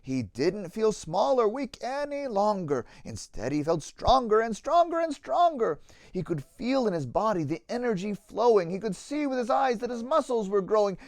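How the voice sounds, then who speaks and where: A man with a high voice reads aloud for children as a pastor would at storytime. His delivery is theatrical and rhythmic, with very lively intonation. The sound is dry and free of room echo. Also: moderate pace. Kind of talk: expressive children's storybook reading.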